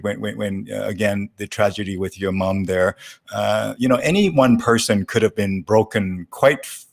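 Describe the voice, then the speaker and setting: A man hosting a casual live online interview, speaking in a halting, rambling way with many hesitations. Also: on the low side. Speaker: talk show host.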